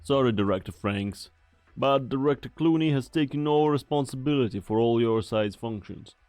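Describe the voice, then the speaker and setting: A man's voice: mid-range voice, normal speed, darkish tone, no room sound, close to an everyday voice. A man voicing a cold security commander giving curt, officious orders. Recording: dry close mic, faint music.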